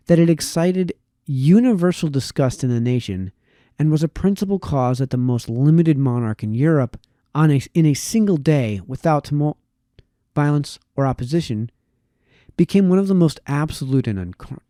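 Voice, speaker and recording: A man reading a formal historical text aloud in a measured way, now and then stumbling over a word. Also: mid-range voice, lively intonation, warm tone, no room sound, clean studio sound. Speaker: reader.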